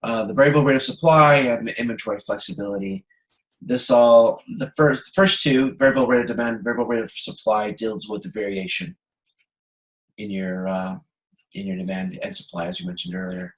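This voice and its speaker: A man giving an online lecture as an instructor, explaining problems step by step in a halting way and often checking that he is understood. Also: on the low side, fairly even intonation, rough recording. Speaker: university instructor. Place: video conference call.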